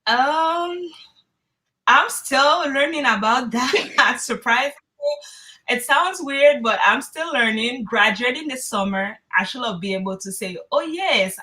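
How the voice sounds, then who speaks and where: Woman, 20s, from Haiti; interview guest, candid and encouraging, bright tone. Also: a little high, a little slow. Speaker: online student guest. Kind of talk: interview answers.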